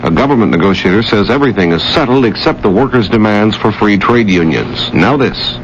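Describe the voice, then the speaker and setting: A man's voice: fairly low pitch, animated, fairly quick. A man anchoring a radio newscast, reading scripted news. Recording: dull noisy old radio broadcast.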